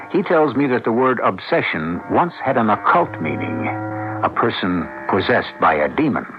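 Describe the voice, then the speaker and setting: Low voiced guy hosting a radio mystery, big swoops, portentous, music under him, muffled sound. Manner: measured, theatrical, portentous. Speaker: radio drama host. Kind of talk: scripted dramatic narration. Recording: dull radio recording.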